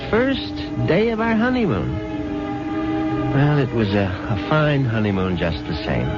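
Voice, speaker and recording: A man's voice: sits low, exaggerated voice. An actor playing a wry, skeptical husband who recounts his marriage in a 1970s radio drama, with sardonic conversational asides. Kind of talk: 1970s radio drama acting, first-person narration. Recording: dull vintage radio recording with music.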